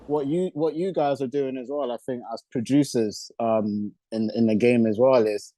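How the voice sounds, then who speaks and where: A man with a London accent hosts a casual music interview. His voice is medium in pitch and sounds close and dry. He talks informally with plenty of slang. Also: moderate pace, mellow, dry recording. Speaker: radio show host interviewer.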